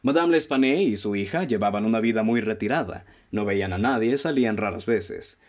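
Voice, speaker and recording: A man with a Mexican accent playing a composed, analytical detective in a radio drama, speaking in a formal, didactic, deliberate way. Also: fairly low pitch, close to an everyday voice. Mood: probing, methodical. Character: analytical male detective, methodical, composed, leading his listeners. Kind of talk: radio drama acting, formal literary dialogue. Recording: dull, muffled lo-fi audio.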